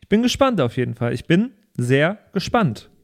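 A man's voice: a little high, big pitch swings, moderate pace, vocal fry. A man hosting a podcast, chatting casually and reading out thanks with jokey asides. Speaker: podcast host and tabletop player.

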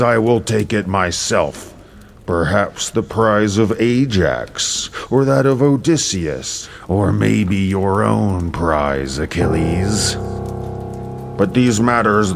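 Guy doing an angry arrogant king, menacing and grand, epic audio drama, bit of noisy ambience behind. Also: fairly low pitch. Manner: imperious, menacing, declamatory. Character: arrogant, wrathful male king and army commander.

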